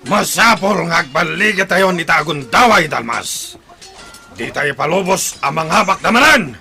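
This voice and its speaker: A man's voice: fairly high pitch, expressive, normal speed, gravelly, pushed and bright, faint music behind, heavily acted. A man playing a fierce, domineering villain chief of an enemy tribe in a radio drama. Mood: determined.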